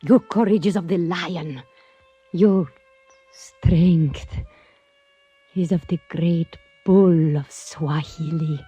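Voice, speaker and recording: An actress playing a mysterious foreign woman in an old radio adventure, bold and flattering, speaking in formal, exotic phrases. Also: on the low side, extremely slow, long pauses, slightly rough, no room sound, soft background music, full character voice. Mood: admiring, seductive. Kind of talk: 1940s-50s radio adventure acting. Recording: muffled old-time radio recording.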